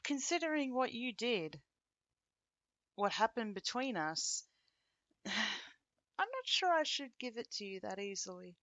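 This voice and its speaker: Casting: woman to play a bitter young ex-girlfriend for ASMR roleplay; sardonic, playfully menacing, unhurried delivery, close dry sound. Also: fairly high pitch, sing-song, a bit bright, no echo, muffled recording. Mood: taunting, cold. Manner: teasing, sardonic, with dry chuckles and pointed pauses. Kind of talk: ASMR roleplay monologue to the listener.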